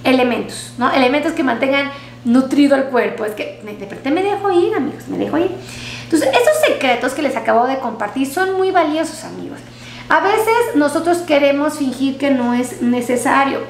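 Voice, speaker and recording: High-voiced woman doctor, echoey room. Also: expressive, moderate pace. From Mexico. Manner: warm, repetitive, uses diminutives. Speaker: doctor giving health advice. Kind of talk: casual health talk to viewers. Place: small clinic room. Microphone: headset mic. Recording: echoey room with faint hum.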